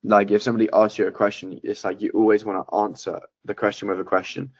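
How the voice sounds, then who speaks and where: A man breaks down a sales call with blunt, profane coaching, speaking in a flat, low voice. The sound is dry and muffled, like a lo-fi video call. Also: quick pace, no room sound. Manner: blunt, swears often. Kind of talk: casual coaching chat.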